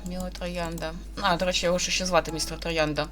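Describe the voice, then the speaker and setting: A woman's voice: fairly low pitch, level tone, quick pace, a bit bright. Woman gamer over faint music. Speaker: gaming video host. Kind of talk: visual novel let's play, reading dialogue aloud and commenting.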